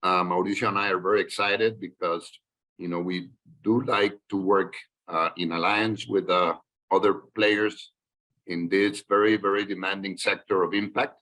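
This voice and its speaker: A man, a guest telling his career story in a podcast interview in a measured, reflective way. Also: deep voice, fairly even intonation, bright, forceful tone, poor audio. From Colombia. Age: 60s. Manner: measured, reflective, with frequent fillers and restarts. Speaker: guest, investment banker.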